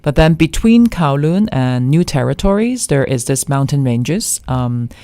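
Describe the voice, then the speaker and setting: A woman from Hong Kong speaks as a guest architect in an interview. Her delivery is conversational and thoughtful, with hesitant pauses, and the recording is very dry with little room sound. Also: deep voice, animated, dark tone, no echo.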